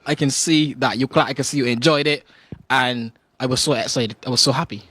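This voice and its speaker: A man's voice: mid-range voice, steady tone, a little fast, bright, forceful tone, husky, no echo. A young man with a Barbadian accent, a singer guest on a morning show, telling his story casually and acting out his own reactions. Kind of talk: casual interview talk.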